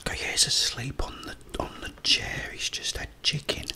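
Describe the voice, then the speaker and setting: A middle-aged man whispering personal stories for ASMR in a hushed, halting, unhurried manner. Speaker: ASMR creator.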